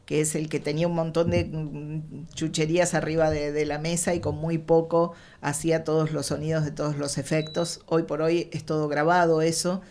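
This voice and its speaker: Low-pitched Argentine woman explaining in detail. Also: flat delivery, moderate pace, few pauses, darkish tone. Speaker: radio drama writer, guest. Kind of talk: conversational explaining.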